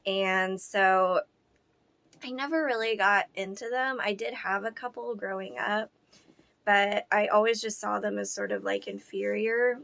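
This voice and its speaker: A hoarse woman in her thirties talks casually through an unboxing. Her voice has a gravelly fry, and she fills her sentences with ums, likes and restarts. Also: mid-range voice, steady tone, a little slow, fairly bright tone. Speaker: doll collector vlogger.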